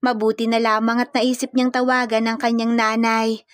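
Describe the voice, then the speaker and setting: A woman's voice: a little high, level tone, normal speed, no room sound, studio quality. A woman narrating a scripted romantic comedy story.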